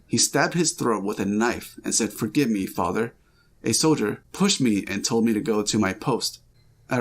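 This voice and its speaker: Low-voiced man reading a dream story. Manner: plain reading with small slips and restarts. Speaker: podcast host reading a listener's dream. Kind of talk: first-person story reading.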